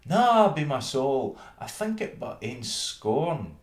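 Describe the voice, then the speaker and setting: A man's voice: mid-range voice, very animated, takes it slowly, reverberant, pitched above the performer's own voice. A man voicing a proud, fussy elder town mouse sister with a Scottish accent in a storybook verse reading. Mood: scornful. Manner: haughty, scornful.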